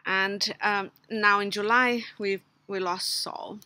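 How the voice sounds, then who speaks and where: A middle-aged woman with a Brazilian Portuguese accent speaks a memorial tribute, part of it read, full of fillers and restarts. Her voice is bright and clear. She sits outdoors in a garden, close to a webcam, and the sound is dry. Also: medium pitch, steady tone, takes it slowly, no room sound. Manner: hesitant, many fillers and restarts. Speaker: logician giving a recorded tribute. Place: outdoors under a patio umbrella in a garden.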